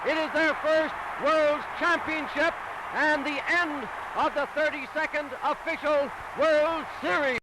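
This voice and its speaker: A man's voice: very high voice, steady tone, unhurried. An American man giving emphatic, punchy baseball commentary as a newsreel announcer of the 1930s. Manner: emphatic, declamatory delivery in short punchy phrases. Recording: noisy 1930s optical newsreel soundtrack, crowd roar. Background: stadium crowd noise.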